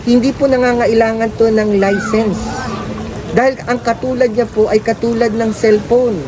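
A woman's voice: a little high, noisy background. A woman with a Filipino accent politely explaining a product to viewers in an informal way, often posing rhetorical questions. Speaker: presenter.

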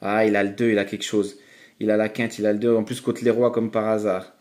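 A man commenting on his online poker hands for viewers in a chatty, excitable way. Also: lowish voice, brisk. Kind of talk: casual live commentary while playing.

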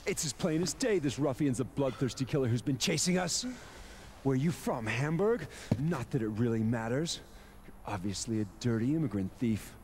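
A man's voice: medium pitch, expressive, moderate pace, a little mellow, close to an everyday voice. Haughty accusing actor as a bigoted man, noisy. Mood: scornful, accusing. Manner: pompous, accusatory. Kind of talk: video game cutscene acting. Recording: noisy game audio with ambience.

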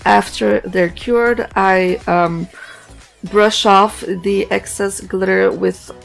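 A woman hosting a nail art tutorial, hoarse and raspy from a cold, narrating in a relaxed, chatty way with plenty of fillers. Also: medium pitch, slow, faint music behind, low-quality recording. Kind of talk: casual voice-over tutorial narration.

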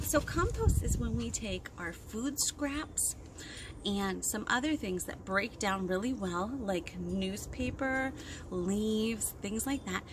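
A woman in her thirties leading an interactive storytime for young children, expressive and sing-song, asking lots of questions. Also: on the high side. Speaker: children's librarian hosting storytime. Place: outdoors on a wooden deck by trees. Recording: windy outdoor recording with clear noise. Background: wind and outdoor ambience.

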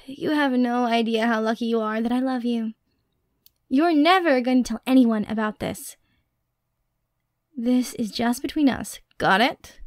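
High-voiced, dorky, playful girlfriend played by a woman. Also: measured pace, long pauses, dry recording, studio quality, close to an everyday voice. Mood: teasing, affectionate. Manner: playful, expressive, teasing, nerdy rambling. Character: playful, dorky, Halloween-obsessed young girlfriend, excitable and affectionate. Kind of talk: ASMR girlfriend roleplay, intimate acted monologue. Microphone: close, dry studio microphone.

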